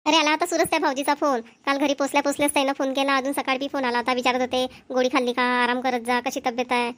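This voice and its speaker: A woman's voice: high-pitched, level tone, fast talker, a bit bright. A woman voicing an easygoing married sister in a family cartoon, familiar and chatty, with a Vidarbha Marathi accent. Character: married daughter visiting parental home, easygoing sister. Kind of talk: amateur family cartoon voice acting.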